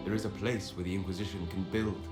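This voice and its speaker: A man playing a learned elven mage in a video game, speaking in a measured, solemn, formal way. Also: deep voice, fairly even intonation, natural voice. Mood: solemn, urging. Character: grave, learned elven male mage, quietly commanding. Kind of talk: video game dialogue acting. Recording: roomy echo with music behind.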